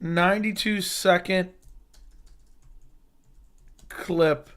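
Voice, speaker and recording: A young man hosting a casual fight-sports livestream chat, hoarse from losing his voice, excitable and quick to swear as he reacts to news. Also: high voice, a little hiss or hum. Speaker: MMA YouTube host.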